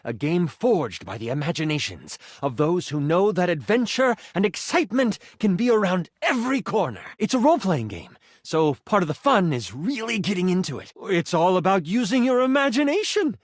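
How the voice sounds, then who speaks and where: An eager, geeky living die who runs fantasy adventures as a video game character. The voice sits high and swings widely in pitch, full of theatrical storyteller flourish and excitable nerdy zeal. The sound is dry and close. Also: male voice, normal speed, hardly any pauses, dry recording. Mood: grand storytelling. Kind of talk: animated video game voice acting.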